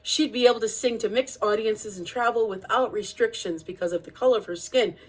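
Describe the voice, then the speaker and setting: Female storybook narrator, slightly high voice, scripted read-aloud delivery for children. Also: brisk, husky, rough recording. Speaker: reader of a children's picture-book biography.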